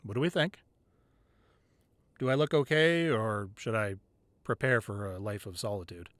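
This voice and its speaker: A man playing a tough gang leader boyfriend who is teasing and tender in an intimate ASMR roleplay, with playful grumbling and soft, hesitant asides. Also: lowish voice, normal speed, dry recording, close to an everyday voice. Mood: teasing, relieved. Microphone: close microphone, dry.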